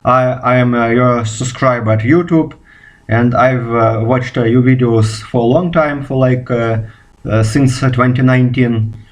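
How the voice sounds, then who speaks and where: A man's voice: mid-range voice, steady tone. A Russian man speaking English as a second language, a physicist talking unscripted to camera, stopping often on "uh" fillers and restarts. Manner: halting, many "uh" fillers and restarts. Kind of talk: unscripted video response.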